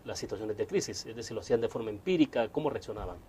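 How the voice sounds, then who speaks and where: A male interview host with a slightly low voice. He talks quickly in a conversational, wordy style that circles around each question. Noticeable noise sits behind his voice. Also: lively intonation, mellow.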